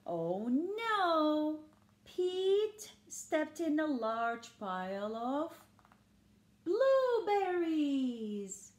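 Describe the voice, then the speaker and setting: An older woman reads a children's picture book aloud in a fairly high, very animated voice. She is exaggerated and sing-song, addressing small listeners directly. The room adds noticeable echo around her. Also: very slow. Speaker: storyteller reading to young children. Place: indoors in a living room. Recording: roomy home recording.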